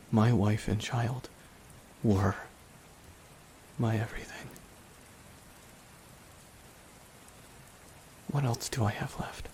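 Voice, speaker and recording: A man as a grieving, slow-spoken supervillain. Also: fairly low pitch, slight background noise. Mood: bitter grief. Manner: slow, halting delivery with heavy pauses. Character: grieving male supervillain, bitter yet tender toward dying nemesis. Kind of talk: ASMR audio roleplay, dramatic monologue. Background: rain and music.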